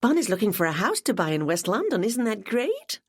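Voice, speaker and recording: A woman reader voicing a bubbly, excitable young woman who talks in breathless, high bursts. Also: a little fast, husky. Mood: gushing.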